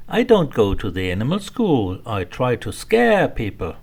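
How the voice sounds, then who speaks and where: A man voicing a friendly little storybook ghost, spooky and playful, calling out in a drawn-out way. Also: mid-range voice, wildly swooping pitch, creaky, voice pushed up, heavily acted. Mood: playful, proud. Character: friendly little ghost who likes to scare people. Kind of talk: children's storybook character voice.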